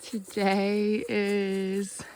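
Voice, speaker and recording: A woman in her thirties leading a guided meditation outdoors, speaking gently and earnestly with long pauses. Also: medium pitch, monotone, slight background noise. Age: 30s. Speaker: guided meditation host, healer. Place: outdoors in the woods by a spring.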